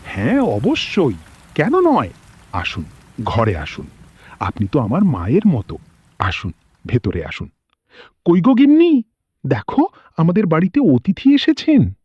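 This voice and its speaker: A humble, hospitable poor villager , played by a man, in a cartoon fable. His high voice leaps around dramatically and has a husky edge. The audio is muffled. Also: huge pitch swings, unhurried, long pauses, a little mellow, no room sound, full character voice. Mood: welcoming. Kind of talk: cartoon moral story voice acting.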